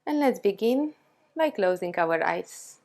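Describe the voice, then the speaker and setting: Slow-paced woman guiding a meditation. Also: mid-range voice. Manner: calm, soothing, with long pauses between short phrases. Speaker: yoga and meditation teacher. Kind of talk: guided meditation.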